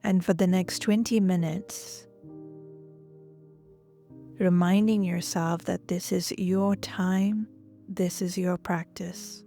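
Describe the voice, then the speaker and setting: A woman leading guided relaxation and breathwork as a yoga instructor, gentle and soothing, often trailing off into a breathy near-whisper. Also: on the low side, flat delivery, slow, long pauses. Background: soft music.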